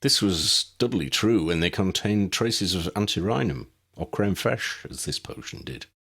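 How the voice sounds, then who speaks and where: A man's voice: measured pace, slightly rough. A man narrating an audiobook of his own fan fiction as author and reader, telling the story expressively. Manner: expressive storytelling with wide swings in pitch.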